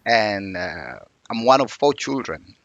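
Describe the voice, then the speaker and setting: A man's voice: fairly low pitch, very animated, takes it slowly, creaky, a bit bright, no room sound, highs cut off. A man, telling his life story as an interview guest in a reflective, earnest way. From Uganda.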